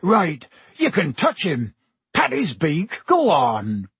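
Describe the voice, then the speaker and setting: A man voicing a big, hearty, kindly gamekeeper who teaches in booming, rustic, colloquial speech for an audiobook. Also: very animated, slow, husky, no room sound, heavily acted. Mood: delighted, encouraging.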